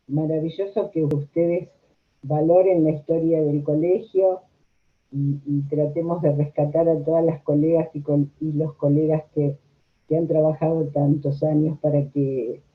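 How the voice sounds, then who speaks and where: A woman's voice: sits low, fairly even intonation, a little slow. An older woman with a Rioplatense Argentine accent, reminiscing conversationally as she is interviewed. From La Plata, Argentina. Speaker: interviewed social worker. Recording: tinny, narrow phone-like audio.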